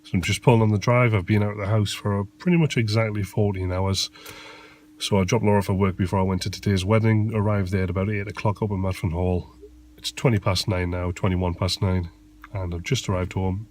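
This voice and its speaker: A man's voice: lowish voice, fairly even intonation, a little fast, a little mellow, slight background noise. A man who shoots weddings, chatting casually to camera, tired and nasal from a cold. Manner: chatty, rambling, down to earth. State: tired after a long day. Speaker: wedding photographer vlogger. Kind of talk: casual vlog chat to camera. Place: in a car arriving home.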